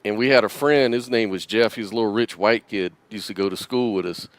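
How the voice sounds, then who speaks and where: Smooth, low-voiced man telling a story. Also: fairly quick, no echo. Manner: rambling, relaxed storyteller. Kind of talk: casual storytelling.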